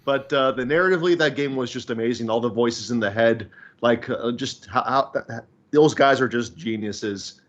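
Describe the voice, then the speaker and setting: A man's voice: medium pitch, moderate pace, muffled recording. A man on a gaming podcast panel, speaking enthusiastically in a conversational way. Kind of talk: casual chat.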